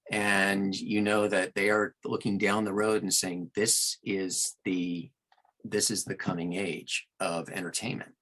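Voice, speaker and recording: A man speaking as an audiobook narrator and guest in an interview, answering conversationally in measured, thoughtful phrases. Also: deep voice, level tone, a bit bright, poor audio.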